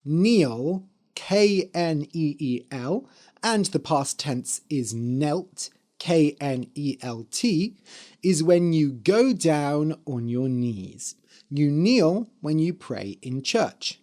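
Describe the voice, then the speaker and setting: Hoarse guy doing an english lesson podcast, talks really slow, spells words out. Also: a little high, darkish tone. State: hoarse, slightly dry voice. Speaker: podcast host and English teacher. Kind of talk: slow, clear teaching talk for learners.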